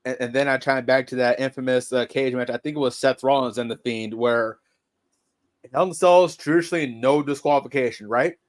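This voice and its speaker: A man in casual podcast chat, measured and reflective, often stammering into his points. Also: mid-range voice, fairly even intonation. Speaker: podcast co-host.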